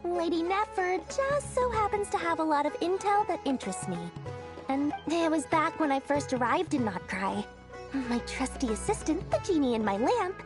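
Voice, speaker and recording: An actress playing a greedy, self-important traveling merchant in a video game, with pompous sales patter and dramatic delivery. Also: female voice, high voice. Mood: boastful. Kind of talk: animated video game cutscene voice acting.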